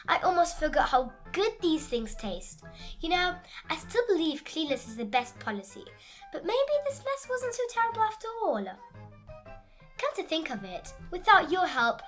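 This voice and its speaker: A woman's voice: high voice, a bit bright, exaggerated voice. A woman voicing a fussy, dramatic, easily panicked male frog in a children's storybook, with theatrical, scolding delivery. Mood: content, relenting. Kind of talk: animated children's storybook read-aloud.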